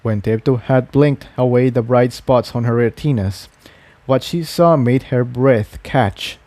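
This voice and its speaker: A man's voice: mid-range voice, unhurried, darkish tone, no echo. A man reading an adventure story aloud as scripted narration. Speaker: audiobook-style reader of fan fiction.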